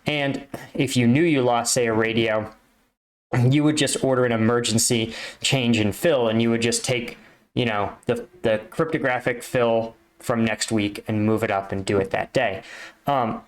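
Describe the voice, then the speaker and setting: A man's voice: medium pitch, fairly even intonation, moderate pace. A man giving informal, explanatory reaction commentary as a military veteran, often checking for agreement as he teaches. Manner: didactic, seeks agreement with rhetorical checks.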